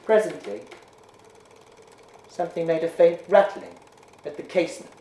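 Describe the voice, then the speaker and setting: A man giving a measured, hushed dramatic reading of a ghost story. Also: on the high side, sing-song. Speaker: reader. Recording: roomy echo.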